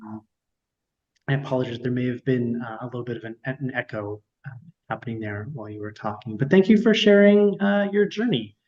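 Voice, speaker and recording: A man's voice: medium pitch, a little fast, a little mellow. A man hosting an artist talk in an informal, polite and slightly hesitant way on an online call. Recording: echoey, dull online call audio.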